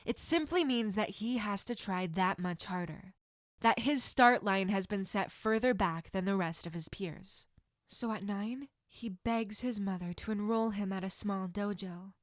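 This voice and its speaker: A woman's voice: medium pitch, dry recording, studio quality. A woman reading fan fiction aloud as a scripted story narration.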